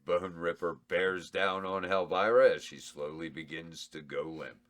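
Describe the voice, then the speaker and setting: A man's voice: deep voice, measured pace, fairly bright tone. A man reading a comedy horror script's stage directions aloud in a matter-of-fact tone at a table read.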